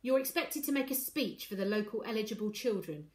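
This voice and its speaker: A woman's voice: mid-range voice, expressive, fairly quick, natural voice. Woman doing a timid, deferential male assistant. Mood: dutiful. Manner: hesitant, polite, careful.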